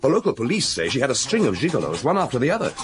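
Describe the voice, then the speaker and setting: An actor playing a young, earnest police inspector in a radio drama, answering respectfully and briefly. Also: male voice, mid-range voice, huge pitch swings, racing.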